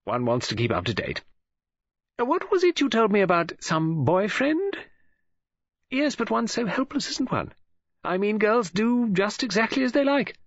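A woman's voice: medium pitch, big pitch swings, normal speed, no echo, highs cut off, pitched above the performer's own voice. A man voicing a chatty, flustered middle-aged English woman novelist in an audiobook, with a gushing, breezy manner. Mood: gossipy.

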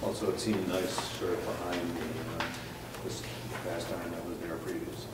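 A man with a low, flat voice walks a hearing through his building design, stumbling over fillers and restarting sentences. He is picked up by a distant microphone in a large meeting room. The sound carries a roomy echo and steady background noise. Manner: halting, many fillers and restarts. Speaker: architect presenting a project. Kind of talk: public hearing presentation. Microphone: far room mic.

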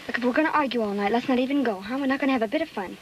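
An actress playing a pert, impatient American high school girl in a 1950s film. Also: rapid-fire, natural voice. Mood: exasperated. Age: young adult. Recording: very noisy old film soundtrack.

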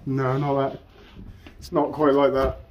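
A man's voice: medium pitch, monotone, unhurried. A man chatting casually as a paranormal explorer, recorded on a camera. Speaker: paranormal explorer and channel host. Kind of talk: casual chat while exploring. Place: derelict building interior. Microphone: handheld or body-worn camera. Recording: dull sound with faint noise.

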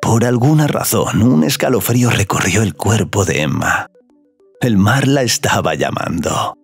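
A man narrating scripted audio fiction in a slow, sensual storytelling manner. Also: lowish voice, animated, gravelly, soft background music.

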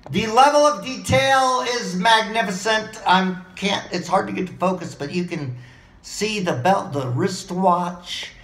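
A hoarse middle-aged man rambles eagerly from one topic to the next, his voice pitched high for a man and full of gravelly fry. His tone is bright and edgy, and the desk mic picks up a roomy echo from his living room. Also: measured pace. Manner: excitable, rambling, jumps between topics. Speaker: vlogger. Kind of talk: rambling home video monologue. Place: living room at home, indoors. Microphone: desk mic on a boom arm, webcam framing.

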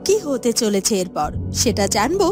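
Lively high-voiced woman storyteller. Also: moderate pace. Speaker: narrator. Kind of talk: scripted children's story narration. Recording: music under the voice.

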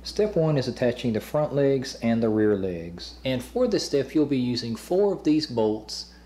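A young man with a warm, relaxed voice talks through an assembly job at home. His delivery is plain and matter-of-fact, like a host walking viewers through each step. A faint hiss sits under the voice. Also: mid-range voice, moderate pace. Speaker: host of a review channel. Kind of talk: instructional product assembly voice-over.

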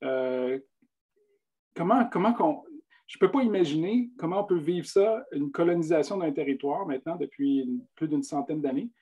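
A man in his thirties with a Quebec French accent moderates a video call panel. His voice sits slightly high yet sounds soft and mellow. He phrases questions at length, with restarts. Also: expressive, breathy edge. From Abitibi-Témiscamingue, Quebec. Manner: wordy, halting questions with restarts. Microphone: webcam on a video call.

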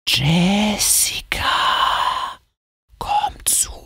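A ghostly presence in a horror audiobook, whispering slowly and breathily. It is eerie and luring, with no real tone in the voice, recorded dry. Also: male voice, high voice, wildly swooping pitch, gravelly, a bit bright, husky, no echo, voice pushed up, exaggerated voice.

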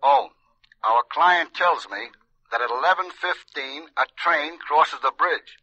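Calculating crime boss's middleman, forceful piercing tone, exact delivery, telephone sound, radio thriller. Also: a little high, animated, measured pace, vocal fry, breathy edge, dry recording, heavily acted, rough recording. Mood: cold, precise. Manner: precise, controlled. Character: cold, methodical criminal go-between giving orders. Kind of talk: 1940s radio suspense acting.

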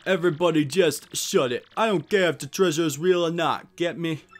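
A man voicing a gruff, menacing mob boss in a video game, with tough-guy gangster slang. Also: a little high, a little fast, faint music behind, lightly acted. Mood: bossy, bargaining. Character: gruff, menacing male mob boss, big cartoon creature. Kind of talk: video game character dub.

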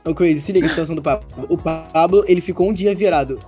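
A man's voice: fairly high pitch, rapid-fire, darkish tone, faint music behind. A young man on a group call who jumps in eagerly and repeats his points. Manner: eager, repeats himself, butts in. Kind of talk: casual chat.

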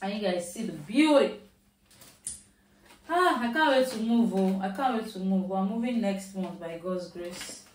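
A woman with a Yoruba-tinged Nigerian accent talks through a shopping haul at home, chatty and easy, dropping into Pidgin now and then. Her voice sits at a middle pitch. She is a little away from the mic in a roomy space. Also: animated, measured pace, recorded from a distance, a little hiss or hum. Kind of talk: casual chat to camera. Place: at home, indoors.

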